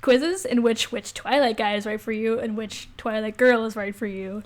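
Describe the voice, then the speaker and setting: A young woman with a voice on the high side co-hosts a casual podcast. She banters playfully, with a wry, giggly way of talking. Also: normal speed. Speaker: podcast co-host. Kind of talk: casual banter, reading quizzes aloud.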